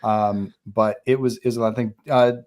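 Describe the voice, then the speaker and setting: A man's voice: fairly low pitch, steady tone, moderate pace, darkish tone. A man hosting a casual live group discussion, talking conversationally and asking the others what they thought. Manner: conversational, prompting others for opinions. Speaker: host of a live online table read and Q&A.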